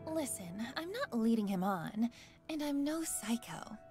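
Aloof young office woman acted by a reader, high voice, lively inflection, curt, sharp-tongued, visual novel. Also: slow pace. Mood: irritated. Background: game music.

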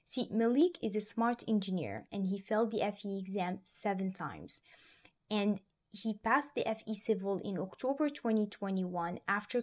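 A woman's voice: mid-range voice, darkish tone. Upbeat young woman hosting an interview. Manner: upbeat and encouraging. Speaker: exam prep host. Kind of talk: video interview and intro. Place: small room indoors. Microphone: desk microphone in frame.

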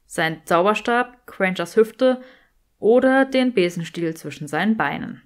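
A woman reading fiction as an audiobook narrator, in expressive scripted narration. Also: medium pitch, unhurried.

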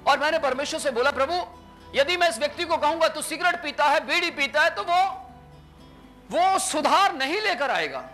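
Brief: male pastor, 30s, high bright voice, animated storytelling sermon, faint music underneath. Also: moderate pace. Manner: animated preacher, acts out dialogue with sweeping gestures. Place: small indoor stage with curtains.

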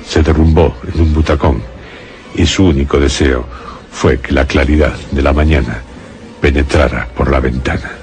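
A man with a Castilian Spanish accent narrating a suspense radio drama in a grave, measured storytelling manner. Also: extremely low pitch, steady tone, darkish tone.

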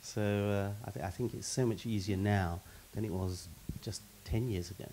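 Panelist, middle-aged man, low voice, handheld mic, faint hiss, expansive and list-heavy. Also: steady tone, moderate pace, dark tone. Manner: expansive, repeats words when starting, gives lists of examples. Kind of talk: panel discussion answer. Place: stage in a meeting hall with audience.

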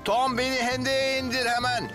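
An actor voicing a calm, kindly cartoon firefighter who reassures and gives short commands during rescues. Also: male voice, very high-pitched, normal speed, over music. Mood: reassuring, focused. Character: calm, heroic, kindly male firefighter in action. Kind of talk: animated children's cartoon dub.

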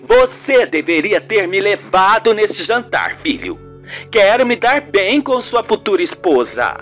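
Older mother, acted by a man, high swooping voice, needy, melodramatic, radio soap. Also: sing-song, unhurried, fairly bright tone, soft background music, full character voice. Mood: pleading. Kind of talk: amateur radio soap acting.

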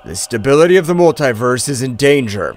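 A man voicing a calm, solemn high priest who speaks in a grave, measured, formal way, in a fan fiction story.

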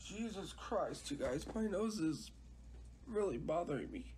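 Slow, congested woman rambling on a livestream. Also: lowish voice, big pitch swings, noisy background. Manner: rambling, hesitant, many restarts. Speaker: live streamer. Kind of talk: casual livestream chat. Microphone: phone.

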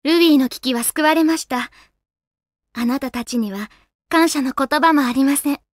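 A solemn, gentle guardian spirit of the land, played by a woman in a video game. Her voice sits high and she speaks with formal, composed courtesy. The recording is close and dry, with no room around it. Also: moderate pace, no echo, close to an everyday voice. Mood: grave, courteous. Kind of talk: Japanese video game voice acting.

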